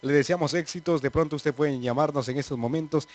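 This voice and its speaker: A man's voice: fairly high pitch, fairly quick, no room sound. Man presenting live television. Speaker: TV host. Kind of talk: live TV presenting in Spanish variety show. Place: small indoor TV studio. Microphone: handheld microphone.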